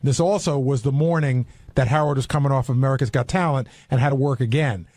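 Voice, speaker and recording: A man's voice: mid-range voice, fast, a little mellow, no echo. A man chatting casually on a radio talk show, explaining things in a rambling way. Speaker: radio show staff member on air. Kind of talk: casual studio discussion.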